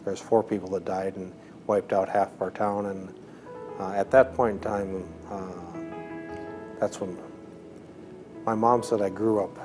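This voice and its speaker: Low-voiced man in his forties. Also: little pitch movement. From Minnesota, US. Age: 40s. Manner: thoughtful, many "uh" pauses. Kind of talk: reflective interview testimony. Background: soft music.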